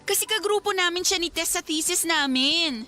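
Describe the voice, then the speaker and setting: A woman's voice: very high-pitched, moderate pace, bright, forceful tone, faint music behind. An actress playing a distressed young female student pleading with her boyfriend in a whiny way, in a radio drama. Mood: defensive, pleading. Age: young adult.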